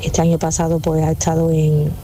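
A woman calling in to a radio show, speaking in a friendly, unhurried way. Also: deep voice, monotone, warm tone, a little hiss or hum, rough recording. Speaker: caller.

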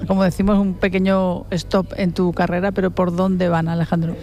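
A man interviewing a guest in a brisk, upbeat way. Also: high voice, completely flat delivery, a little mellow, slight background noise. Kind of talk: radio-style interview.

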